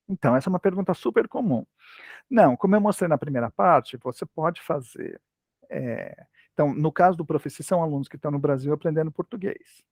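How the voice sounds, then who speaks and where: Creaky-voiced man explaining teaching methods. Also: on the high side, a little mellow, dry recording, studio quality. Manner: hesitant, restarts often, conversational. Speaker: language teaching lecturer. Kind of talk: academic talk, casual explanation.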